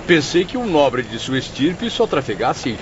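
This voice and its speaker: A man's voice: fairly high pitch, big pitch swings, moderate pace. A man playing a haughty, sarcastic character in an audiobook drama, speaking formally. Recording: dull audio with background noise.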